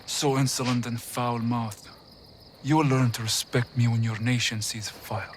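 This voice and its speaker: An actor playing a proud, defiant captive Iranian military officer in a video game, contemptuous and indignant. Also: male voice, medium pitch, steady tone, normal speed, slight background noise, lightly acted. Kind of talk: video game cutscene acting.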